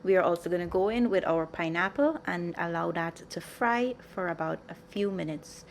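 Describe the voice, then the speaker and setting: A woman with a fairly low voice and some creak in it narrates cooking instructions. She explains things step by step in an orderly way, as the host of a home cooking channel. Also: moderate pace, slight background noise.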